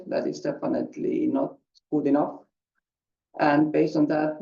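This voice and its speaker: Mellow, gravelly man presenting financial results. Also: on the high side. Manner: hesitant, halting delivery with frequent filler sounds. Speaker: company finance executive. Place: conference call. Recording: muffled, roomy conference call audio.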